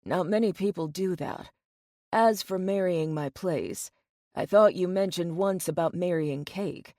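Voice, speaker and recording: A woman's voice: lowish voice, a little slow, warm tone, no room sound, studio quality, lightly acted. A woman voicing a composed, teasing man of means in an audiobook. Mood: gently teasing.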